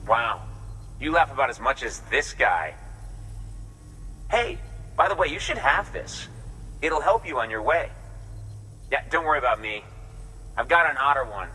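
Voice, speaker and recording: A man's voice: fairly high pitch, sharp tone, long reverb tail, slight background noise, heavily acted, rough recording. An actor playing a goofy, eccentric man in a video game cutscene, hammy and desperate for laughs, chuckling at his own puns.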